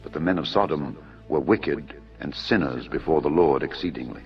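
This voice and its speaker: A man with a very low voice narrates scripture as a dramatised reading. His delivery is solemn and measured, like formal storytelling. Music plays clearly behind him, and the audio is slightly dull. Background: background music.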